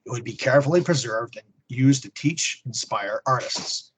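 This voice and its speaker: A man's voice: mid-range voice, lively intonation, moderate pace, fairly bright tone, slight background noise, rough recording. A man co-hosting a podcast, chatting casually and reading listener mail aloud. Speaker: podcast co-host.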